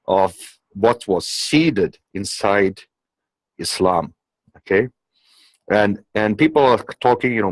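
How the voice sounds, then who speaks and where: A man's voice: lowish voice, no echo, low-quality recording. A man, not a native English speaker, delivering an unscripted political monologue in an emphatic, insistent manner, often checking in with "okay?". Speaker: commentator.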